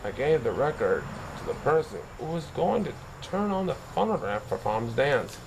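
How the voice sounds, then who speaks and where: A man's voice: medium pitch, sing-song, normal speed, noisy background. A man reading a story aloud expressively, occasionally stumbling over a word and correcting himself. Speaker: storybook reader. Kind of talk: scripted story narration read aloud.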